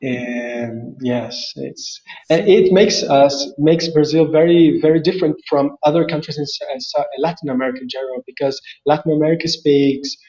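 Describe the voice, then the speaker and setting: A man's voice: a little high, normal speed, few pauses, mellow, lo-fi sound. A man from Paraguay with a Paraguayan Spanish accent, speaking English as a guest in a casual interview, hesitant and restarting often. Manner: hesitant, many restarts and fillers. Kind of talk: casual video call interview.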